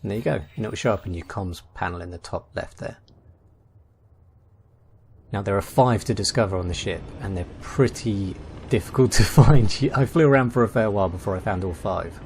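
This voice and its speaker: Low-voiced man narrating a gaming guide. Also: fairly quick, vocal fry, a little hiss or hum. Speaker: gaming video host. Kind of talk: casual game guide commentary.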